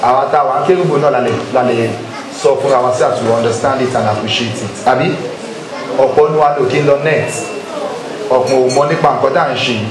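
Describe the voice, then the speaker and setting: A man's voice: mid-range voice, steady tone, quick pace. A man with a Nigerian Yoruba accent speaks as a church youth leader, exhorting a congregation with repeated emphasis. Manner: rhetorical questions to listeners, repetitive emphasis. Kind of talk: exhortation to a congregation, leading a pledge. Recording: very echoey hall with crowd noise. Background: congregation murmur.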